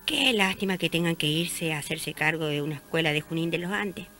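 A chatty, devout village neighbour woman in a radio drama. Her voice is fairly low and bright, confiding and expressive, with faint music behind. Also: brisk. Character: gossipy, pious small-town neighbour woman, friendly and chatty.

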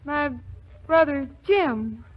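Very high-pitched, flustered young fiancée. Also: female voice, expressive, extremely slow, exaggerated voice. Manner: flustered, stammering. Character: flustered, excitable young fiancée in romantic farce. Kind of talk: 1940s radio comedy acting. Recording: muffled 1940s radio recording.

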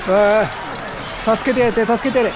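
A man giving excitable, chatty video game commentary, exclaiming often and playfully mimicking the characters. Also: high-pitched, measured pace, over music, a crowd in the background. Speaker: gameplay streamer.

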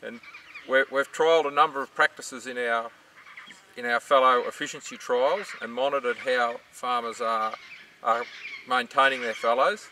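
A middle-aged Australian man explains things to camera in a bright voice of middling pitch. He stands outdoors, away from the mic, with faint noise around him. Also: steady tone, normal speed, distant mic. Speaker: farm researcher. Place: outdoors in open dry farmland.

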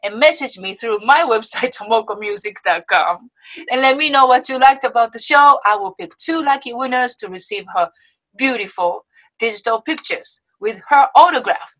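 A woman from Osaka with a Japanese accent, hosting a video-call interview show in a bubbly, excitable way, laughing often. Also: fairly high pitch, normal speed, rough recording. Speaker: host. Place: indoors before a movie-themed backdrop. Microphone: webcam video call.

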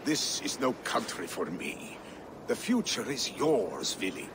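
An older actor playing a weary, loyal old Viking warrior in a video game, solemn and resigned. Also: male voice, fairly low pitch, sing-song, a little slow, background noise. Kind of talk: video game dramatic acting.

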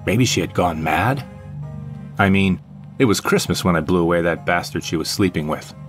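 A man's voice: sits low, animated, normal speed, faint music behind. A man playing a brooding, vengeful jilted ex-husband, narrating an audio drama in the first person with melodramatic deliberation. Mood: bitter, bewildered.

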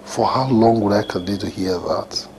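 A man's voice: on the low side, darkish tone, a little hiss or hum, lightly acted, rough recording. A Nigerian man acting an agitated husband in a domestic film drama, emphatic and repeating himself. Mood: frustrated. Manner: emphatic, repetitive, deliberate. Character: agitated, dramatic husband worried for his brother.

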